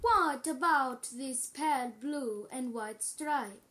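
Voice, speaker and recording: A woman voicing a formal, deferential yet superior manservant in a storybook, speaking in a measured, discreet way. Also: high voice, bright, forceful tone, full character voice. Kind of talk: storybook character voice. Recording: noisy, with chirping birds behind.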